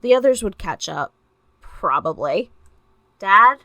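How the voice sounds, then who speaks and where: Female fiction narrator: medium pitch, wry storytelling, amateur audiobook read, very little room sound. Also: lively intonation, moderate pace, fairly bright tone, no room sound.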